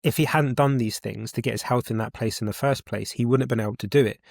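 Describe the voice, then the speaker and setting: A man's voice: medium pitch, steady tone, fast talker, creaky, darkish tone, dry recording, studio quality. A man in his forties hosting a podcast, talking casually and enthusiastically, often repeating his points for emphasis. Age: 40s. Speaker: podcast host.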